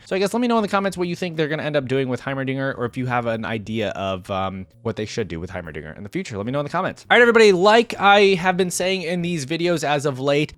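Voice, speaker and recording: A man with an average-pitched voice gives casual commentary as the host of a reaction video. He addresses viewers directly in a conversational, rambling way, working out his thoughts as he goes. The sound is dry, with very little room in it. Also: no echo. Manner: conversational, rambling, thinking aloud. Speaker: YouTube reaction creator and host.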